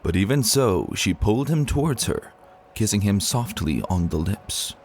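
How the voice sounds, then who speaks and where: A man narrating a story as a dramatic reading, expressive and deliberate in his delivery. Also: lowish voice, a little hiss or hum.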